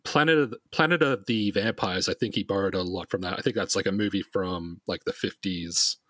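A man in his late thirties with a slightly low, husky voice, talking casually as a podcast guest. The sound is close and dry. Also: fairly quick, fairly bright tone, no echo. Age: late 30s. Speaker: podcast guest, comic creator. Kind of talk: casual conversation.

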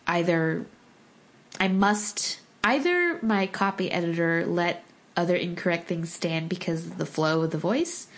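A woman from the United States whose first language was Korean, talking in a relaxed conversation, thoughtful and full of small restarts and fillers. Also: lowish voice, a little slow. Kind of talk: casual conversation.

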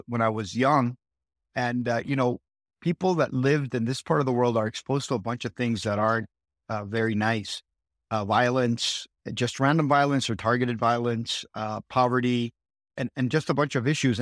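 A man's voice: mid-range voice, no room sound, clean studio sound. A middle-aged man from Guatemala with an American accent, a podcast guest speaking earnestly and often restarting his sentences. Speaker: guest, startup city co-founder. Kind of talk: podcast interview.

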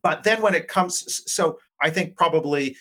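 Higher-voiced man explaining analytically. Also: moderate pace, sharp tone. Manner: analytical, qualifies points as he goes. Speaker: academic guest, politics professor. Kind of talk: conversational explanatory interview answers.

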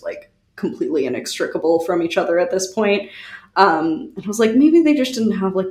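Wry woman podcast host in an echoey room. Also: lowish voice. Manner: wry, animated, prone to giggling asides. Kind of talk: conversational presentation of researched history.

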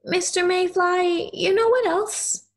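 Audio play: actress as kind little girl, high pitch, unhurried, sincere and slightly hesitant. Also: steady tone, full character voice. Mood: tender sadness. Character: kind, earnest young girl befriending a mayfly.

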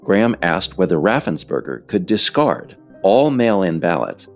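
An American man reading scripted narration as the author of a book, in a measured, emphatic storytelling manner. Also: deep voice, very animated, faint music behind.

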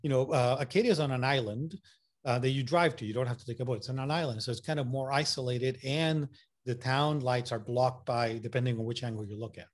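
A man's voice: mid-range voice, a little fast. Man with a mixed Puerto Rican–Massachusetts accent. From Puerto Rico. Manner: enthusiastic, many fillers and restarts. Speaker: photographer guest. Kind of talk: casual interview talk.

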